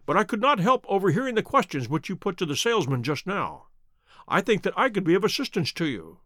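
A man voicing a shrewd, self-assured gentleman detective in an audiobook, courteous and precise in manner. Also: high voice, big pitch swings, fairly quick, no room sound, studio quality, heavily acted. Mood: bland, assured. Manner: bland, courteous, confident, precise.

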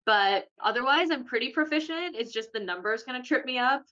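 A woman's voice: high voice, fairly quick, muffled recording. A young woman in her twenties chatting casually on a podcast, telling a funny story with energy. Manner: bright, laughing, animated storyteller. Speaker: podcast co-host. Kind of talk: casual chat.

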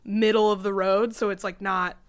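Woman, mid pitch, upbeat, chatty co-host, casual. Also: flat delivery, moderate pace. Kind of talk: casual podcast chat.

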